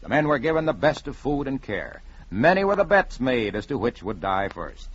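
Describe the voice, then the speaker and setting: Animated old-time radio storyteller, husky man. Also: medium pitch, moderate pace. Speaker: narrator. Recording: dull vintage radio recording.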